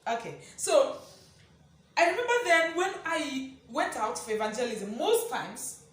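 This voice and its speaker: A woman delivers a motivational teaching talk in a high, loud, projecting voice. She is emphatic, repeats key phrases and checks in with rhetorical questions. The recording is very reverberant and picked up from a distance. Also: animated, a little slow. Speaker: motivational speaker and presenter. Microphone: distant camera mic, no microphone on speaker. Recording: echoey room, distant mic, faint noise.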